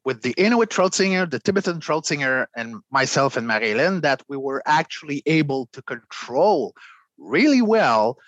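A man's voice: fairly high pitch, sing-song, moderate pace, fairly bright tone, no echo, highs cut off. Man explaining his throat singing. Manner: explains with demonstrations, clears throat often. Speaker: guest, extreme vocalist and voice actor. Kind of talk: conversational interview.

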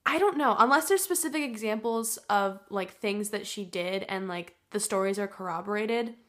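A woman co-hosting a gossip podcast speaks with a slight Midwestern accent at a medium pitch. She grew up in the Pacific Northwest. She talks casually and hedges carefully before she takes a side. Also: normal speed, a bit bright. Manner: hedging, thoughtful, chatty. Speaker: podcast co-host. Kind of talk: casual celebrity gossip chat.

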